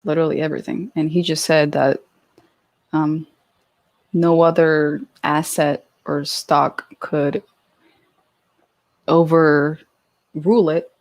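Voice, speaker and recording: A woman's voice: low-pitched, little pitch movement, slow, long pauses, darkish tone. A woman in her twenties hosting a casual livestream, chatty and giggly as she reads out and answers viewer questions. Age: 20s. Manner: giggly, chatty, with many fillers and restarts. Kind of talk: casual live chat.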